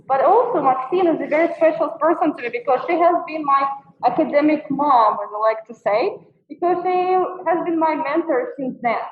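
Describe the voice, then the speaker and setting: A woman introducing a guest speaker at a talk, admiring and with frequent fillers. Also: sits high. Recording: tinny, distant and echoey.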